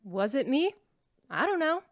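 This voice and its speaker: A defiant troublemaker in a sci-fi radio drama. Her high voice bounces around animatedly as she argues, recorded close and dry. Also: sing-song, unhurried, no echo. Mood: defensive, indignant. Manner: argumentative. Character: defiant female troublemaker arguing her innocence.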